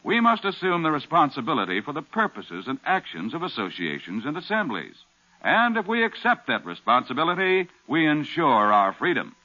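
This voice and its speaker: A man's voice: fairly high pitch, wildly swooping pitch, moderate pace, sharp tone. American guy doing a solemn 50s radio announcement, punchy and swoopy, dull old recording. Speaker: public service announcer. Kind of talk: scripted 1950s radio announcement. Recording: muffled old radio broadcast.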